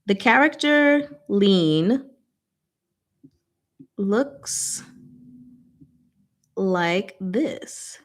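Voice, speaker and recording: A woman's voice: mid-range voice, expressive, very slow, pauses often. A woman hosting a casual book discussion, explaining things informally with playful, rambling asides.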